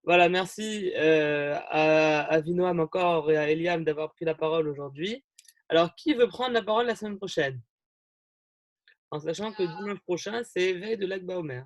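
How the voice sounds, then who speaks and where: Young guy on a video call, kinda high voice, lots of euh and restarts, dry sound. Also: normal speed, no echo. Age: young adult. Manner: friendly, rambling, many fillers and restarts. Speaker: youth group leader hosting an online meeting. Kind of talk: casual talk to a group on a video call.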